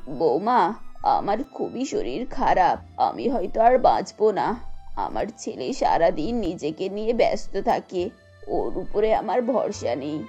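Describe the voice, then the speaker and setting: Female, narrator as ailing elderly cartoon mother, weak, pleading, lowered voice, music behind. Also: big pitch swings, measured pace, warm tone, slightly rough, heavily acted. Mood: weak, resigned. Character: old sick frail mother-in-law on her deathbed. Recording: dull lo-fi sound.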